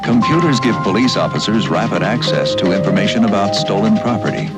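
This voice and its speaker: A man's voice: fairly low pitch, lively intonation, a little fast. A man reading scripted informational narration as an announcer on a 1970s TV tape. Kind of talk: scripted narration, educational TV spot. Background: loud music.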